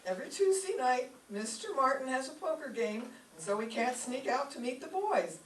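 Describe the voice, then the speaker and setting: A woman's voice: sits high, big pitch swings, moderate pace, slight background noise. A woman playing an amused, sensible roommate in a staged radio sitcom. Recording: echoey stage recording from far off.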